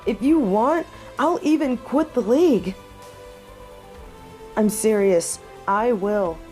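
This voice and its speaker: A young woman playing a devoted half-wolf heroine in a romantic audio drama, speaking earnestly and tenderly with halting pauses, as if to one loved person. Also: a little high, mellow, soft background music. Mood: earnest, pleading. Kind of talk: romantic audio roleplay acting, intimate one-sided dialogue.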